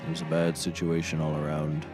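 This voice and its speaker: A man's voice: rumbling low, monotone, fairly quick, music in the background. An actor playing a matter-of-fact, salesmanlike male sidekick, a shady ex-mercenary, in a scripted comedy. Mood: matter-of-fact reminiscing. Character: gruff male sidekick, shady ex-mercenary turned product pitchman. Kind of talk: scripted comedy audio drama.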